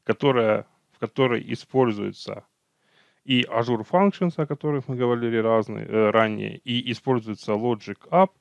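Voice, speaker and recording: A man's voice: mid-range voice, moderate pace, vocal fry, no echo, muffled recording. A man teaching an online technical training over slides, talking unhurriedly, with hesitations and self-corrections.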